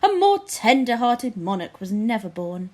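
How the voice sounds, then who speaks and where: Woman playing fawning male courtier, storybook, oily, obsequious, animated intonation. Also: fairly high pitch, moderate pace. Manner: obsequious, smooth flattery.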